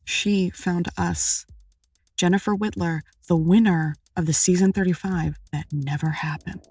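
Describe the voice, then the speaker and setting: A woman's voice: lowish voice, fairly even intonation, measured pace, darkish tone, slightly rough, no room sound, faint music behind. A woman playing a level-headed, dry-witted co-investigator in an audio drama. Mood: intrigued. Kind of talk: audio drama dialogue, conversational.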